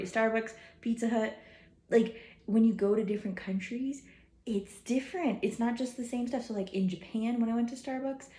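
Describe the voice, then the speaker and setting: A woman's voice: mid-range voice, warm tone, echoey room. A young woman talking casually about travel food, chatty and rambling with lots of fillers. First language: English, some Hindi learned. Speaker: travel vlogger. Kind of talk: casual chat.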